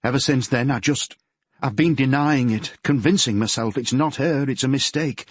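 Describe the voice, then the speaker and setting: A man's voice: mid-range voice, moderate pace, pitched above the performer's own voice, lightly acted. Man voicing a grieving Yorkshire mother. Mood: anxious, fretful. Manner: gentle, fretful, homely. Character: grieving middle-aged Yorkshire mother, polite, tearful. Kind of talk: audiobook character voice.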